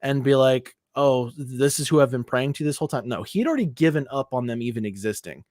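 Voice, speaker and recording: A man's voice: mid-range voice, lively intonation, fairly quick, a little mellow, no echo, clean studio sound. A man co-hosting a casual nerd podcast, ranting with frequent swearing and filler words. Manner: profane, ranting, lots of fillers. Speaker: podcast co-host. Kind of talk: casual chat.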